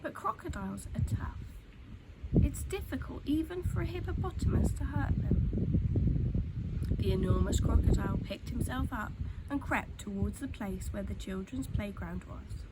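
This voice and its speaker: A woman reading a children's story aloud in an expressive, dramatic way, dropping into hushed tones for suspense. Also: medium pitch, moderate pace. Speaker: storytime reader. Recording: clear background noise.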